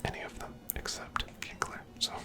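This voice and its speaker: A person whispering softly and breathily, giving gentle, casual ASMR commentary while playing a video game. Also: normal speed. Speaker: host of a gameplay series.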